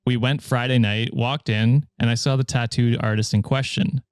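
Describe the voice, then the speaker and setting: A man's voice: mid-range voice, moderate pace, smooth, dry recording. An American man hosting a podcast, reading Reddit stories aloud and chatting casually, laughing often. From United States. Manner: laughs often, relaxed storytelling with fillers. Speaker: podcast host.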